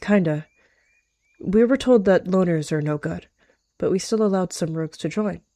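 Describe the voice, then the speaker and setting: A woman's voice: lowish voice, moderate pace, dark tone, dry recording, close to an everyday voice. Woman as a practical, friendly healer cat.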